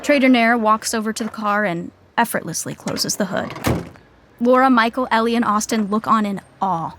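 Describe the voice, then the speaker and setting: A woman's voice: a little high, normal speed, noisy background. Dry matter-of-fact woman narrator. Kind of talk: scripted sitcom narration.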